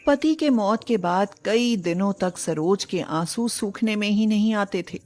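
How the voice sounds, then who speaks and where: Slow-paced woman storyteller. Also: mid-range voice, darkish tone. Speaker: narrator of a moral story. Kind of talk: scripted dramatic storytelling narration.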